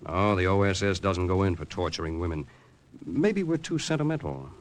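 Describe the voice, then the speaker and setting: Secret service officer, old radio drama: lowish voice, composed, wry, understated. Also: male voice, lively intonation, fairly quick. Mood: dry, pointed. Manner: dry, understated. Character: composed, wry male American intelligence officer. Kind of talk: 1940s radio drama acting.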